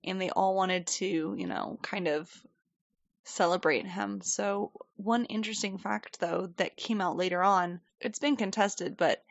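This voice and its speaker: A woman's voice: mid-range voice, steady tone, unhurried, no room sound, lo-fi sound. A woman co-hosting a podcast, telling a story in a chatty, rambling way and laughing often. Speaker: podcast co-host. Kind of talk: casual storytelling chat. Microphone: desk microphone.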